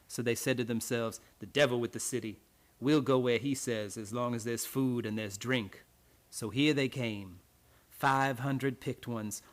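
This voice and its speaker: A man's voice: medium pitch, natural voice. Man acting a grim, blunt island retainer. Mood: grimly storytelling. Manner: rustic, archaic phrasing with "aye" and "'twas". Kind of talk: radio play acting.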